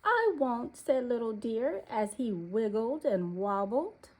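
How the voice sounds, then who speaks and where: A woman narrating a children's storybook aloud in an expressive, deliberate storytelling manner. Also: a little high, slightly rough, rough recording.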